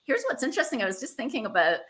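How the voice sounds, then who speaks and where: A woman's voice: on the high side, huge pitch swings, very fast, a bit bright. A woman hosting a conversational interview in an effusive, encouraging way. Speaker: interviewer, host.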